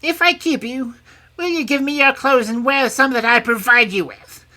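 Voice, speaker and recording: A woman's voice: high voice, moderate pace, bright, forceful tone, voice pushed up. A woman voicing a mean, grasping little old crone in a storybook, grumbling and mocking as she haggles. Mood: crafty, demanding. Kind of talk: storybook character voice.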